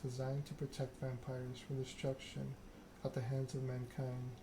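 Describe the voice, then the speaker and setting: A soft-spoken male game streamer talking in an ASMR style, measured and a little halting. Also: medium pitch, completely flat delivery, noisy background.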